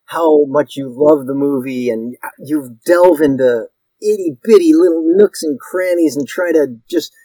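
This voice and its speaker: A man's voice: on the high side, measured pace, warm tone, dry recording, rough recording. A man in his fifties from Connecticut, a guest actor telling stories in a casual interview, rambling and self-deprecating with many restarts.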